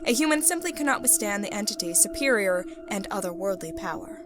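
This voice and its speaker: A woman's voice: mid-range voice, lively intonation, natural voice. Woman acting as composed sci-fi secret agent over music. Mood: composed, formal. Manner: formal, measured reporting. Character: composed, ruthless female secret agent in science fiction. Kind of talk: scripted dramatic reading, mission debrief. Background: background music.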